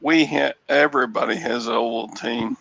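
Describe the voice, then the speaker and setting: A man with a Texan accent chatting casually on a video call livestream, joking dryly and reading aloud in a flat way. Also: mid-range voice, normal speed, gravelly, no room sound. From Texas. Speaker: reseller guest. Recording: dull lo-fi video call audio.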